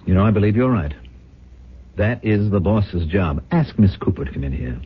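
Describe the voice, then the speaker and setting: Ambitious brooding rich man in old radio play, emphatic, pitch all over, tinny phone-like audio. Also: animated, normal speed, breathy edge, heavily acted. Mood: bossy. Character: ambitious, restless rich idler hungry for power. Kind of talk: 1970s radio drama acting.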